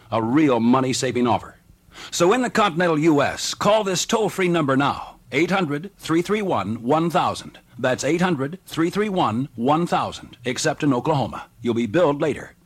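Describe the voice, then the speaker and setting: A man's voice: on the high side, husky. A man reading a scripted radio commercial as an announcer. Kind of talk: scripted radio advertisement read.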